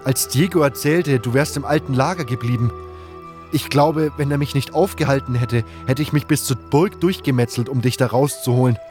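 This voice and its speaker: A man's voice: mid-range voice, normal speed, a little mellow, close to an everyday voice. Man playing a smitten, awkward fantasy hero over music. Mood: earnest, smitten. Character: nameless male adventurer, smitten, awkward, self-deprecating hero. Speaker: narrator and lead actor of a fan audio drama. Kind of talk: first-person scripted narration and dialogue.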